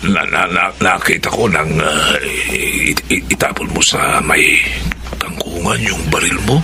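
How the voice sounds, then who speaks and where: A deferential stammering henchman, low voice, slow, loud music under. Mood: hesitant. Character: loyal gang henchman Guido. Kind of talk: radio drama acting. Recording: drama with loud music score.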